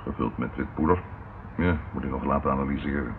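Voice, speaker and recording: An actor playing a shrewd policeman in a radio play, deliberate and matter-of-fact in manner. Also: rumbling low. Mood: grave, factual. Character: measured, shrewd policeman colleague reporting grim findings. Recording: muffled, noisy old radio recording.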